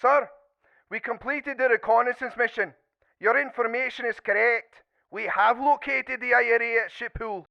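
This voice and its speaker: An actor playing an eager, formal military cadet reporting through a telephone filter in a radio play. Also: male voice, very high-pitched, fairly even intonation, normal speed, vocal fry. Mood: urgent, formal. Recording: thin band-limited phone line.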